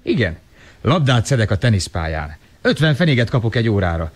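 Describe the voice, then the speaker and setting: A man's voice: on the low side, sing-song. An actor playing a plucky, polite young orphan boy, earnest and spirited, in a radio drama. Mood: confident. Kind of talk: 1980 radio drama acting.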